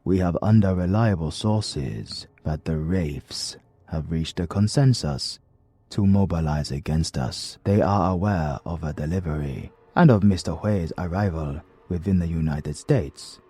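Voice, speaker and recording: A man's voice: low-pitched, unhurried, warm tone, husky, no room sound. A man with a British accent voicing a firm, emphatic male associate of a crime boss. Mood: stern.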